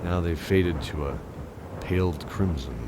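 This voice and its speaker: An actor playing a weary, guilt-ridden knight captain, narrating and speaking in a scripted fantasy audio drama. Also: male voice, sits low, unhurried, background noise. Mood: solemn, reflective. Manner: solemn, descriptive, dramatic delivery.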